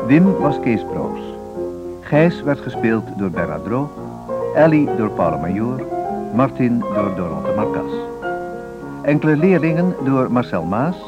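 A man reading scripted closing credits as a radio announcer. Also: mid-range voice. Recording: muffled recording, loud piano music behind. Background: piano music.

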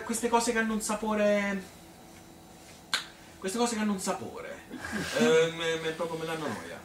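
A chatty working-class Tuscan woman, played by a man in a low voice, reminisces about married life in a rambling, colloquial stage monologue. The delivery halts and repeats like spontaneous storytelling. It is recorded from a distance in an echoey room with clear background noise. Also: a bit bright. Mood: amused, animated. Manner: rambling, colloquial, halting with repetitions. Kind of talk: spontaneous-sounding theatrical monologue. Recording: roomy, distant, noisy live recording.

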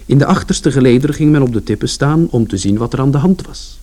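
A man's voice: medium pitch, animated, fast, dark tone, natural voice. An actor playing an indignant, long-winded man who explains his side of events as narrator of a radio play. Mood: explanatory, wry. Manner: aggrieved, explanatory, colloquial.

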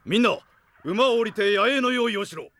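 A man's voice: sits high, animated, moderate pace, fairly bright tone. A man acting in a Japanese radio drama as a stern knight captain, barking orders with authority. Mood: commanding. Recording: studio drama with music behind.

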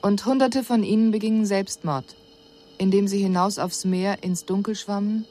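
An actress playing a detached extraterrestrial envoy in a radio drama, giving an analytical report in precise, sober, formal language. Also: female voice, medium pitch, steady tone, moderate pace, mellow, close to an everyday voice. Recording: faint hiss on 1980s radio production.

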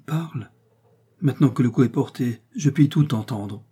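A man's voice: medium pitch, normal speed, dark tone, husky. A man voicing an anxious, loving middle-aged wife who pleads tenderly with her husband. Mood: alarmed.

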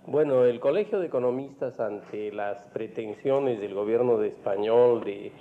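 A man with a Peruvian accent giving a formal, halting explanation as an interviewed economist. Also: medium pitch. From Peru. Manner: halting, hesitant, formal wording. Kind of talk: spoken explanation. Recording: muffled, noisy lo-fi interview recording.

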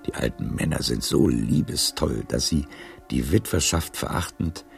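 Gravelly, very deep old Casanova. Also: male voice, animated, soft background music, exaggerated voice. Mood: wistful, dreamy. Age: older. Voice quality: husky, gravelly, breathy murmur. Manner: slow, halting, savouring storytelling. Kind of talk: intimate radio drama acting.

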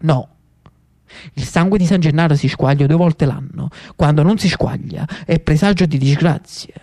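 A man's voice: a little high, moderate pace, a little mellow. Neapolitan guy doing a dramatic ironic stage monologue, storyteller style, bits of dialect. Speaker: actor-storyteller. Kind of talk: theatrical monologue narration.

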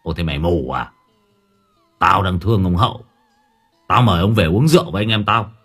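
A man's voice: sits low, expressive, fairly quick, a bit bright, husky, faint music behind, exaggerated voice. A man voicing a belligerent, drunken village man, a bullying older brother who blusters coarsely and repeats himself. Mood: indignant.

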